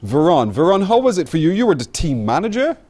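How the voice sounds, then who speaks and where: A man's voice: on the high side, sing-song, fast talker, darkish tone. A man hosting a live morning broadcast interview. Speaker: morning TV show host.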